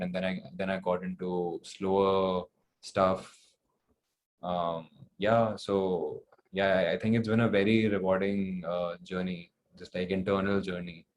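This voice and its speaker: A young man with an Indian accent answering interview questions casually, thinking aloud in hesitant, loosely phrased sentences. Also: deep voice. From New Delhi, India. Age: young adult. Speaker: guest, singer-songwriter. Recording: clearly noisy background.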